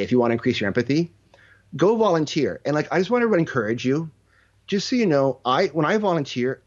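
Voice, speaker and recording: A man's voice: mid-range voice, lo-fi sound. A man speaking casually as an interview guest, telling anecdotes and voicing bits of dialogue. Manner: anecdotal, acts out quoted dialogue. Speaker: interview guest, leadership mentor. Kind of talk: casual conversational storytelling.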